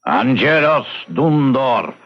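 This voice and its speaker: An actor playing a huge, blunt, stubborn foreign settler in a frontier radio drama, speaking terse broken English. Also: male voice, medium pitch, very animated, slow pace. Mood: curt, firm. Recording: dull lo-fi radio recording.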